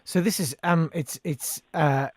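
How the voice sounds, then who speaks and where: Halting male radio host, dry sound. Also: fairly high pitch, no echo. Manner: halting, stammering over word starts. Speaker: radio host interviewing a guest. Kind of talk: casual radio interview.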